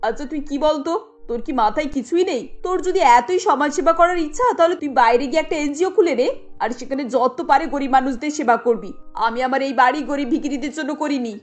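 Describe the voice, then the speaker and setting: A woman's voice: high-pitched, a little fast, lightly acted. A woman playing a haughty, imperious middle-aged rich mother in a cartoon, scolding with snobbish indignation. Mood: angry, commanding. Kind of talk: cartoon dialogue acting. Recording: light music under the voice.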